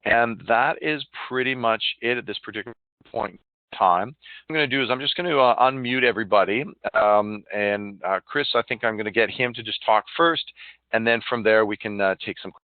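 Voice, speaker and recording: A man with a fairly low voice walks listeners through an online software demo. He explains informally and haltingly, and the sound is thin and phone-like, with no room around it. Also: no echo. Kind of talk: live online product demonstration, walkthrough. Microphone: phone or web conference call. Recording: narrow phone-like line.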